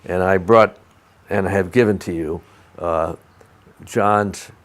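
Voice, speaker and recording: An older man from Albany with an American accent, reminiscing in an oral history interview in a measured, halting, digressive way. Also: low-pitched, steady tone. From Albany, New York, USA. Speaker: interviewee, retired lawyer recalling career.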